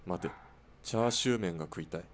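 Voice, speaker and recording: A man playing a terse, stubborn ex-soldier hitman in a radio drama, with a blunt, deadpan delivery. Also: deep voice, fast, background noise, close to an everyday voice.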